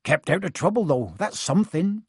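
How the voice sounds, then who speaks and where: A man voicing a big, warm-hearted rustic gamekeeper with a gruff, mumbling manner in a storybook. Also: sits high, lively intonation, moderate pace, dry recording, clean studio sound, full character voice. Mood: friendly.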